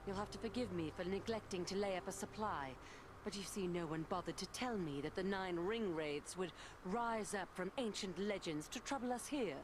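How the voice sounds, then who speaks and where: An actress playing a weary, learned healer woman in a fantasy game, speaking in measured, formal phrases with a slightly tart edge. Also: medium pitch, noisy background, natural voice. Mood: exasperated. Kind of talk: dramatic fantasy game acting.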